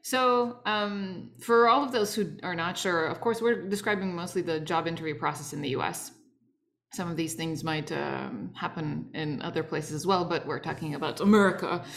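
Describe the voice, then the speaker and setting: Woman, podcast host, somewhat low pitch, chatty, laughs a lot. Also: moderate pace, gravelly, a bit bright. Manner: laughs often, friendly and chatty. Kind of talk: casual chat.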